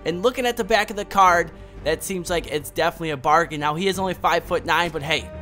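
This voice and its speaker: Hoarse young guy talking fast over game footage, upbeat, kinda high voice, music playing under him. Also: sharp tone. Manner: upbeat, fast-talking, speaks straight to viewers. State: hoarse from a cold. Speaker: gaming YouTuber. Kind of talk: gameplay commentary to viewers. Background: background music.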